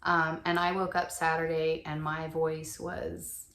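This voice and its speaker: A middle-aged woman, a little hoarse from shouting, co-hosting a casual podcast and gently asking questions. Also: sits low, level tone, measured pace, roomy sound. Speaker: podcast co-host. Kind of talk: casual chat.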